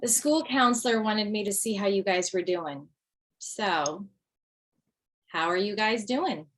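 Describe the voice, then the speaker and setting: A woman's voice: mid-range voice, measured pace, lightly acted. A woman playing a wry, blunt mother in a comedy script table read. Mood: parental. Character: wry, blunt widowed mother of two, former standup comic. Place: remote video call.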